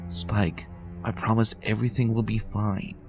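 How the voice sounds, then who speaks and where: A man's voice: on the low side, level tone, moderate pace, faint music behind, pitched above the performer's own voice, lightly acted. A man voicing a bookish, determined young female unicorn pony in a fan reading, earnest and anxious. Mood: reassuring.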